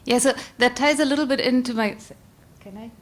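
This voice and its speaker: A woman's voice: a little high, fairly quick, background noise. A woman in a conference audience asking a friendly, searching question, with restarts as she works out her point. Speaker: audience member.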